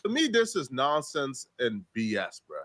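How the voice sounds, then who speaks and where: A man's voice: mid-range voice, wildly swooping pitch, normal speed, fairly bright tone. A man giving opinionated news commentary to viewers in an emphatic, incredulous, slangy way. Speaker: online video commentator.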